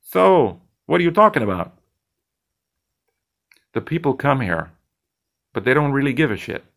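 A man's voice: mid-range voice, big pitch swings, a little slow, creaky, a bit bright, pitched above the performer's own voice, exaggerated voice. A man voicing a slick, blunt male bartender who talks in a teasing, lecturing way.

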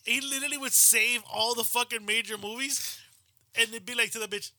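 Dude talking fast and high, bright forceful voice, lots of laughing and cussing. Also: male voice, steady tone. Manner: loud, laughing, swears often, acts out quoted people. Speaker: podcast co-host. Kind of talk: casual banter.

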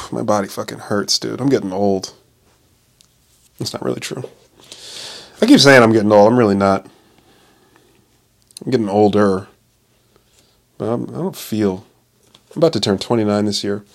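A man in his late twenties with a fairly low voice hosts a loose solo podcast. He rambles and cuts himself off mid-thought. He swears freely and keeps laughing at his own remarks. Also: normal speed, gravelly, pauses often. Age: 20s. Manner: profane, self-interrupting, laughs often. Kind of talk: casual rambling monologue.

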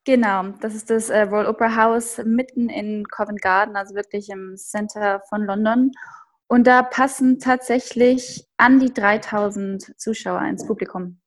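A woman's voice: medium pitch, steady tone, moderate pace. A young woman speaking standard German, a ballet dancer chatting cheerfully as a podcast guest, with many fillers. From Austria, raised in Upper Bavaria. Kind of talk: casual podcast interview.

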